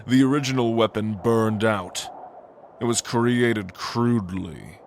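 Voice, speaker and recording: Man playing a slow, pompous angel tyrant. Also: lowish voice, a little hiss or hum. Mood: gloating. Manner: pompous, contemptuous, grandiose. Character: ancient arrogant male angel lord, gloating tyrant villain. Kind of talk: dramatic fantasy audio roleplay.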